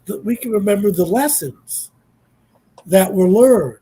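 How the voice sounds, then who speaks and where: A man's voice: high-pitched, lively intonation, unhurried, dark tone, slightly rough. A man in his sixties, a panel guest speaking in a reflective, easygoing way on a casual radio talk show. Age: 60s.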